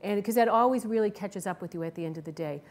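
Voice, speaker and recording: A woman's voice: medium pitch, fast. A middle-aged woman with a thick Boston accent speaks on a panel. She talks plainly and candidly. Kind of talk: panel talk.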